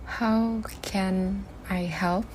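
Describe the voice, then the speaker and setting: A woman's voice: mid-range voice, fairly even intonation, drawn-out words, a little mellow, slight background noise. A woman in an amateur radio drama playing a mother, speaking in a guarded, curt way.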